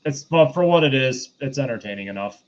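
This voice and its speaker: A man co-hosting a casual review chat, talking in a measured, hedging way on a slightly dull microphone. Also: medium pitch, animated, slight background noise. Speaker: podcast co-host.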